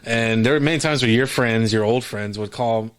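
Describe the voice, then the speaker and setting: A young man co-hosting a podcast in casual chat, animated and acting out other people's voices when he tells stories. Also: mid-range voice, normal speed, clear, resonant tone. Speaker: podcast co-host.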